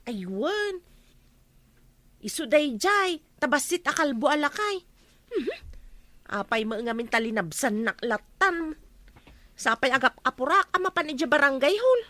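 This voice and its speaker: An actress with an Ilocano accent playing an excitable village neighbor with exaggerated, drawn-out exclamations in a radio comedy drama. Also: female voice, high-pitched, dry recording, full character voice. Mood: chatty.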